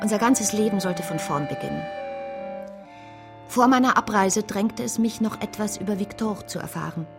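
An actress playing a reflective, hopeful woman who recounts her own story in measured, literary phrasing in a radio play. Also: mid-range voice, over music, close to an everyday voice. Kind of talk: first-person radio play narration.

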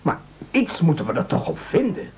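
A man's voice: fairly high pitch, sing-song, normal speed, gravelly, a little mellow. An actor in a radio play playing a greedy, fawning henchman with a theatrical, declamatory way of talking. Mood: hungry, impatient. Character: gluttonous, fawning, theatrically indignant male castaway henchman. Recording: dull, lo-fi radio play recording.

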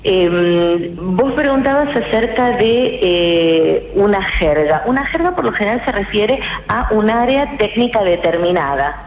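A woman, a guest linguist on a radio interview, explaining in a measured, academic way with many hesitations. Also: medium pitch, expressive. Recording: roomy, muffled radio with hiss.

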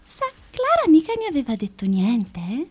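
A woman's voice: high voice, huge pitch swings, moderate pace, a little mellow, breathy edge, heavily acted. A woman playing Gina, a scheming woman who coaxes and reassures too sweetly, in a radio play. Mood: sly. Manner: coaxing, falsely reassuring. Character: scheming woman Gina, sly and overly solicitous. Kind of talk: radio drama acting.

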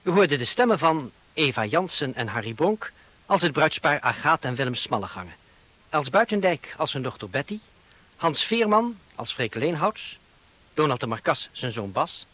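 A man's voice: a little high, very animated, normal speed, a bit bright. A man announcing formally and with careful enunciation, in the style of 1960s radio credits.

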